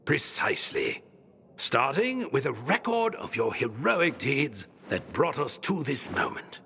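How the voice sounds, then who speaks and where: A man's voice: medium pitch, big pitch swings, a little slow, creaky, bright, forceful tone, in a large hall, a little hiss or hum, exaggerated voice. An actor playing a grandiose, theatrical old male scholar who speaks in pompous, flowery phrases. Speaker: book-collecting patron.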